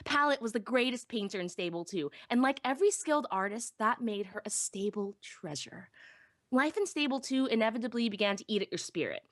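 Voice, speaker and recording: A woman playing a shy, self-deprecating young pony technician who narrates her own story in an expressive, wistful, wry way. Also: a little high, brisk, no echo, studio quality. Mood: weary, wistful. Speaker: first-person narrator. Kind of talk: dramatic live audiobook reading.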